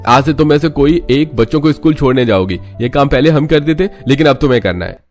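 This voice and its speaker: A man's voice: medium pitch, quick pace, darkish tone, faint music behind, natural voice. A man voicing grown brothers in a cartoon story, bossy and matter-of-fact. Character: adult brothers running the household, teasing and stubborn.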